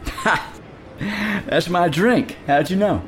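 Man acting an animated, gullible husband. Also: on the high side, takes it slowly, slight background noise. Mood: impressed, amused. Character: frustrated husband at casino, easily impressed gambler. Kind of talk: audio drama thriller acting. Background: music.

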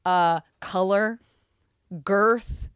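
A young woman hosting a podcast, asking rapid questions in a teasing, quick-witted way and laughing often. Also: mid-range voice, clean tone, dry recording, clean studio sound. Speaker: podcast host. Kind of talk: casual interview chat.

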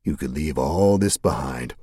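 A man voicing a sinister, manipulative male cult leader who speaks in a slow, smooth, menacing way. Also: very deep voice, lively intonation, mellow, no room sound, clean studio sound, voice pushed down, exaggerated voice. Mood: sinister, coaxing.

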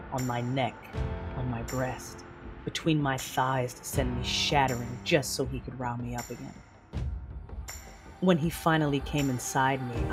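An actress playing a proud, passionate but guarded woman warrior, narrating an audio drama in the first person in a breathy, intimate, measured way. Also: extremely low pitch. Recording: music under the voice. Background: music.

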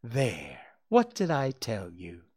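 A storybook reader voicing a brisk, motherly female kangaroo, teasing and firm, by turns soothing and mock-severe. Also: mid-range voice, wildly swooping pitch, a little mellow, husky, heavily acted. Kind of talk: children's storybook character voice.

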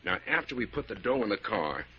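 An actor playing a gruff, bossy bank robber ringleader in a slangy 1940s radio crime drama. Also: male voice, lowish voice, sing-song, brisk. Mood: brisk, scheming. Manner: gruff, commanding, slangy tough-guy talk. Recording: scratchy, dull 1940s radio recording.

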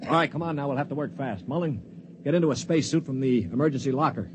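A man's voice: medium pitch, quick pace. A man narrating and playing the wry, commanding lead of a 1950s science fiction radio drama. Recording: noisy 1950s radio broadcast.

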